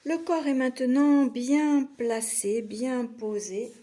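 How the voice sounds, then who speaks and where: A woman teaching yoga nidra, guiding a meditation in a soothing, unhurried manner with long pauses between short phrases. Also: high-pitched, fairly even intonation, mellow. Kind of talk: guided relaxation meditation.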